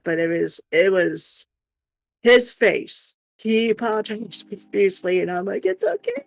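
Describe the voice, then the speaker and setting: A person chatting casually and telling personal stories in an animated way, often acting out what people said. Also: medium pitch, creaky, dry recording. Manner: animated, acts out quoted remarks. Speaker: live stream host. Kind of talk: casual chat, personal storytelling.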